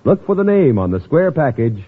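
A man's voice: medium pitch, very animated, normal speed, slightly rough, phone-quality sound. A man working as a radio announcer, reading a scripted 1950s commercial and narration with a polished sales delivery. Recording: thin, band-limited old radio broadcast.

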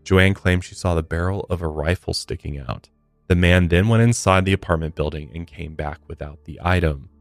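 A man's voice: low-pitched, moderate pace, no room sound, faint music behind. A man co-hosting a true crime podcast, recounting case details in a casual, conversational way with blunt asides.

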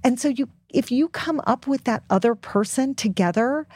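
A woman's voice: a little high, moderate pace, darkish tone, husky, no echo, studio quality. A woman speaking as an expert podcast guest, giving frank, informal and emphatic advice to young listeners. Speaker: guest author and health expert.

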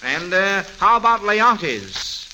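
A man's voice: high voice, very animated, slow. An American man hosting a live 1940s radio quiz, encouraging and repeating answers back, on a hissy old recording. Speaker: quiz show host.

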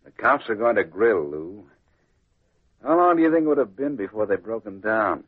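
An actor playing a cold, ruthless, domineering gangster boss in a 1940s radio drama. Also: male voice, on the low side, very animated, moderate pace, husky. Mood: impatient. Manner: callous, domineering. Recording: tinny old radio recording.

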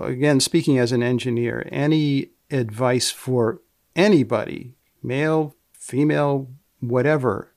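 A man hosting a podcast with deliberate, measured phrasing, moving between scripted narration and interview questions. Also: mid-range voice, gravelly, a little mellow, dry recording, clean studio sound. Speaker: podcast host.

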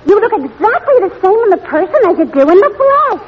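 A woman's voice: very high voice, big pitch swings, fast, phone-quality sound. An actress playing a dim, vain, chatty young woman in a 1940s radio comedy, gushing and naive. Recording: thin, noisy old radio before audience.

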